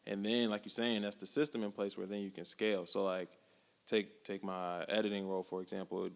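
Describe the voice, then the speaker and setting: Man, 20s, podcast co-host, casual reflective talk, low voice, long reverb. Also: brisk, gravelly. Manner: relaxed, slangy, reflective. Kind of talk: casual chat.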